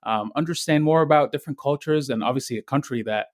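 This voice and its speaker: A young man from Egypt, a guest on a podcast, speaking in a soft and friendly way with many ums. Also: medium pitch, quick pace, no echo, clean studio sound. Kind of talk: casual interview.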